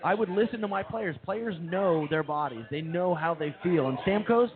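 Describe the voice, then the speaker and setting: Man, high voice, eager fan talk, phone-like sound, crowd noise behind. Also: moderate pace. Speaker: co-host. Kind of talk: casual sports talk. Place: brewery, live show.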